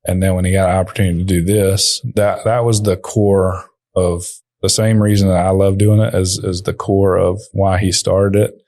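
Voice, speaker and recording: Quiet low-voiced young man, halting speech. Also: level tone, dry recording, clean studio sound. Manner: quiet, soft-spoken, halting with fillers. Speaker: company owner, guest. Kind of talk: podcast interview.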